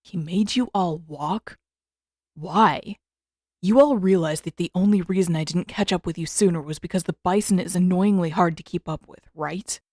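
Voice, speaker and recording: A woman's voice: fairly low pitch, normal speed, darkish tone, dry recording, close to an everyday voice. Woman as a dry, blunt teenage prince. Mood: incredulous.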